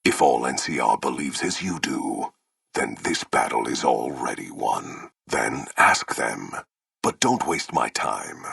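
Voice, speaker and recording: An actor playing a merciless, imperious warlord in a video game, with a gravelly, husky voice and grandiose, menacing, formal speech. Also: male voice, a bass voice, expressive, takes it slowly, a bit bright, no room sound, studio quality, heavily acted. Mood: cold disdain. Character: merciless, imperious warlord commanding a slave army. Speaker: army commander. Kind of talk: theatrical video game villain dialogue.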